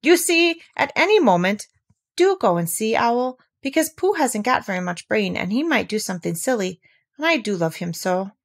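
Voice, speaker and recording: An earnest young boy, acted out by a woman reading a storybook, pitched high. He is affectionate and excitable, speaking in eager exclamations and anxious questions. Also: normal speed. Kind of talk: children's storybook character voice.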